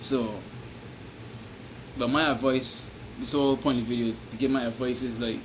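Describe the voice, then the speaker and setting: A man with a Barbadian accent talks loosely and at a middling pitch, circling back on himself and checking in with the listener. A steady hiss and air-conditioner hum sit under his voice. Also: normal speed. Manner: rambling, repeats "you understand me?", restarts often. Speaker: vlogger giving advice. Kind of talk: casual advice monologue to camera.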